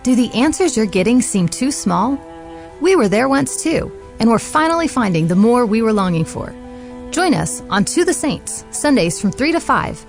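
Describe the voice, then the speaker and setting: A woman reading a scripted radio promo in an earnest, inviting way. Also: on the high side.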